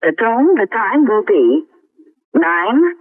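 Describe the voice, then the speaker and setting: A woman announcing the time as a recorded speaking clock, in a measured, formulaic delivery over a telephone line. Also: fairly high pitch, big pitch swings, no echo. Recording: thin, phone-like time-signal tape.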